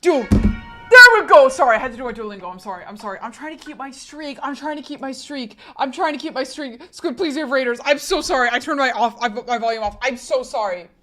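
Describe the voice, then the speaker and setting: A woman streaming live and chatting casually with her audience, often repeating herself and apologizing in quick bursts. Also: high voice, expressive, sharp tone, soft background music. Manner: rapid repeated apologies and asides to chat.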